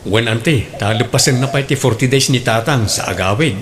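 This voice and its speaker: A man's voice: mid-range voice, fairly quick, background noise, natural voice. A man with an Ilocano accent playing a frank adult son in a comedic radio drama. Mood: matter-of-fact. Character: adult son, frank and casually matter-of-fact.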